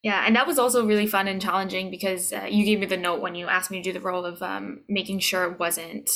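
A woman's voice: medium pitch, steady tone, fast talker, a bit bright. A woman chatting casually as an interview guest, with emphatic repeats and checks for agreement.

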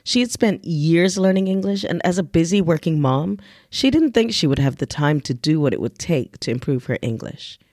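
A woman's voice: low voice, expressive, moderate pace, a little mellow, no room sound, studio quality. A woman hosting a podcast, coaching and interviewing in a conversational style. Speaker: podcast host, business English coach.

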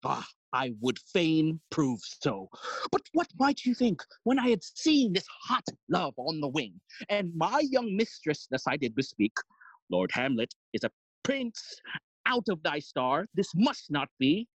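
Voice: mid-range voice, big pitch swings, measured pace, breathy edge, no echo, lo-fi sound. An actor playing a fussy, long-winded old male counsellor in an audio play, theatrical and forever interrupting their own speech. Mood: self-important. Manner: rambling, theatrical, self-interrupting. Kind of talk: Shakespearean stage acting in audio play.

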